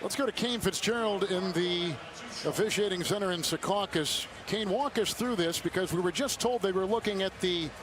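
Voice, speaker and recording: A man's voice: high-pitched, moderate pace, background noise. A man calling live basketball play by play on a broadcast, with colourful, dramatic phrasing. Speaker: play-by-play announcer.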